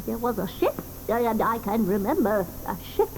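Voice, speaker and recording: A woman's voice: on the high side, big pitch swings, moderate pace, a little mellow, slight background noise, low-quality recording. An actress playing a tiny, ancient, cantankerous grandmother in a studio radio play, with a quavering, tart way of talking. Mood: reminiscing.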